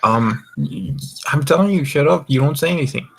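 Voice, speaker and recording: A man's voice: on the high side, expressive, moderate pace, gravelly, a little hiss or hum. A drunk young man hosting a casual group chat, rambling and swearing as he goes. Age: young adult. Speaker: voice call host.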